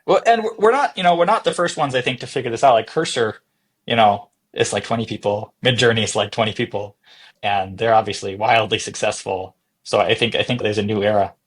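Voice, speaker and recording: A man's voice: on the low side, brisk, breathy edge. A man being interviewed as a startup boss, talking informally and explaining his thinking with examples and questions he poses himself. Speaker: tech startup CEO, interview guest. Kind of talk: conversational podcast interview.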